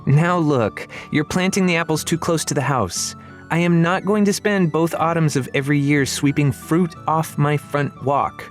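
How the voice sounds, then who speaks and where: A man's voice: a little high, normal speed, faint music behind, voice pushed up, close to an everyday voice. Man voicing a bossy teenage bride-to-be. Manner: scolding, self-assured. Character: bossy, nagging fifteen-year-old bride-to-be, confident and teasing.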